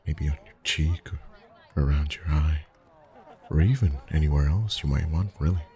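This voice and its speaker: A man acting as a friendly festival face painter in an ASMR roleplay. His voice is extremely low and mellow, softly spoken and gentle. He rambles in a chatty, lightly flirtatious way. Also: moderate pace. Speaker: ASMR voice actor.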